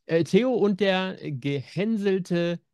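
Higher-pitched middle-aged man, dry close sound. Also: slow pace, a little mellow, clear, resonant tone, dry recording. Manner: jokey, ironic. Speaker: co-host of an audio drama news show. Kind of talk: casual chat. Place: room at home.

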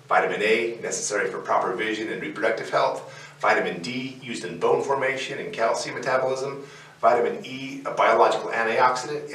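Man, 40s, co-host, plain low-voiced explainer, punches key terms, distant roomy mic. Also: a bit bright. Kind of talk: scripted educational explainer. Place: indoor studio set with barn-wood backdrop. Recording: roomy echo, distant mic, faint noise.

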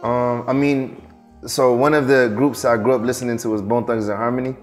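A young man from Morocco, raised in Seattle, answering interview questions in a halting, soft-spoken way with many fillers and restarts, in a studio. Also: mid-range voice, little pitch movement, faint music behind. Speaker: guest, performing artist. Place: dark studio set.

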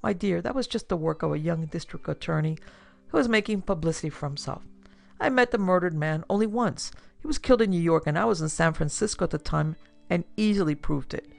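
A narrator as a shy, mild older gentleman. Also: medium pitch, fairly quick, gravelly, natural voice. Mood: mild, explaining. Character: quiet, shy, apologetic older gentleman gambler, father.

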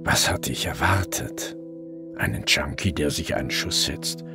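An actor playing a brooding middle-aged police detective, narrating his case in a measured way for a crime radio drama. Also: a bass voice. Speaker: narrator and lead investigator. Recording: music bed under voice. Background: music.

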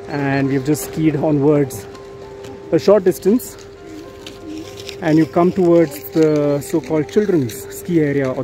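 A man's voice: a little high, dark tone. A middle-aged man speaking casually to a handheld camera outdoors on a ski slope, hesitant with fillers. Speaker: travel vlogger. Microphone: handheld camera at arm's length.

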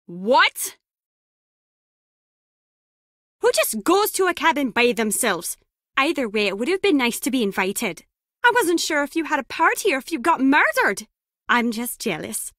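A cheeky, nosy young woman in a video game. Her voice is high and swoops around as she teases and gushes. The sound is dry and close. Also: very animated, moderate pace, fairly bright tone, no room sound. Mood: teasing, wry. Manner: playful, teasing, gushing. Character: chatty, cheeky, excitable young woman, nosy would-be friend.

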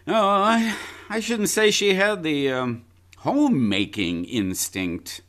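A man playing a brisk, condescending county attorney. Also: sits high, big pitch swings, fairly bright tone, exaggerated voice. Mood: probing. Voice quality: patronizing, chuckling. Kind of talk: live radio play acting. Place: home closet or desk. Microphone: home mic over video call.

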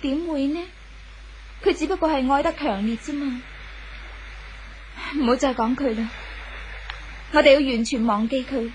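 An actress playing a gentle, hopeful young woman in love in a 1970s radio drama, reassuring, with a Hong Kong Cantonese accent. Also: sits high, normal speed, pauses often, slight background noise, close to an everyday voice, poor audio. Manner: soft-hearted, reassuring.

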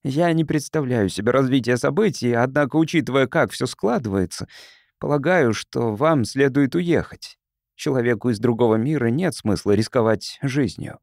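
A man's voice: medium pitch, normal speed, darkish tone, no room sound, clean studio sound, close to an everyday voice. A man reading the part of a reserved, troubled official in an audiobook, speaking formally and reluctantly. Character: reserved, evasive male planetoid councillor, troubled and formal.

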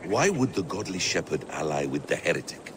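A man acting a calm, shrewd warrior counsellor in a video game, measured and grave. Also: deep voice, noisy background. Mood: wary.

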